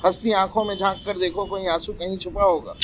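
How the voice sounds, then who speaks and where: A man's voice: high voice, fairly even intonation, brisk, fairly bright tone, slight background noise, low-quality recording. A man in a casual literary discussion, speaking with passion and slipping in English phrases. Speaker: panelist on a poetry talk show.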